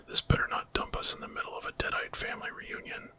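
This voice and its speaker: A man's voice: quick pace, sharp tone. A man voicing a brash, wisecracking male monster hunter in a whispered ASMR story, cocky and sardonic.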